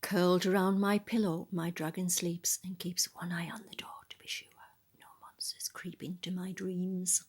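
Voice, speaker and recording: A woman reading a picture book aloud in a soft, breathy voice, adding musing, conversational asides about the illustrations. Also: fairly low pitch, flat delivery, moderate pace. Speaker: storybook reader.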